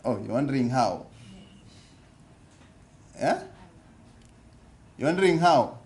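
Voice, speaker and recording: A man's voice: medium pitch, big pitch swings, slow, darkish tone. A Kenyan man giving an interactive seminar talk at a business forum, often asking the audience questions and repeating his points. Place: conference hall.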